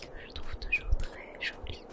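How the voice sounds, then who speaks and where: A woman whispering gently and unhurriedly into a close microphone as ASMR game commentary. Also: moderate pace. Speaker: video creator.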